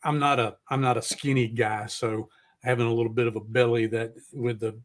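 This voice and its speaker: A man's voice: mid-range voice, little pitch movement, a little fast. Middle aged guy hosting a podcast, super casual, rambles a bit, drops swears. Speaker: podcast host. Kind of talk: casual chat interview.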